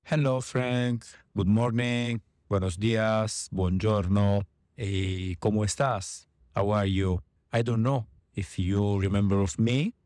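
A man from Italy with an Italian accent, a non-native speaker leaving a hesitant voice message full of pauses. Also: fairly low pitch, fairly even intonation, a little mellow, dry recording, clean studio sound. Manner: hesitant, many pauses and fillers, searching for words. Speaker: listener sending a voice message. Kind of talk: recorded voice message.

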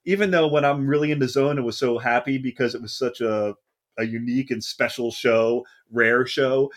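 A man's voice: on the high side, a bit bright. A man co-hosting a podcast, telling a long story in a casual, rambling way and often correcting himself mid-sentence. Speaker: podcast co-host.

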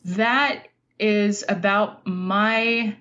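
A woman giving conversational interview answers as a guest writer, explaining things in a thoughtful, measured way. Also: mid-range voice, steady tone, fairly bright tone, highs cut off.

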